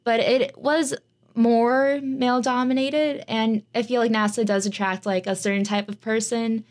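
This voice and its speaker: A woman's voice: fairly high pitch, steady tone, measured pace, darkish tone. Young woman, student guest, casual chatter, lots of "like". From United States. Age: young adult. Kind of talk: casual interview answers.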